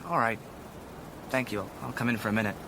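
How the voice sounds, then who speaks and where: A man's voice: medium pitch, fairly even intonation, brisk, close to an everyday voice. An actor with an American accent playing a guarded, polite, awkward teenage boy in a radio drama, hesitant and deferential. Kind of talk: naturalistic radio drama dialogue. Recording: clearly noisy background ambience.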